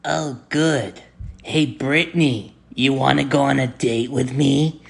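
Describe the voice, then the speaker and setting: Chipoko, a man doing a gravelly, lovesick frog. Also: medium pitch, steady tone, full character voice. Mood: lovestruck. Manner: whiny, quick to threaten, then sheepish. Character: hot-tempered, clingy young male frog. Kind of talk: homemade skit.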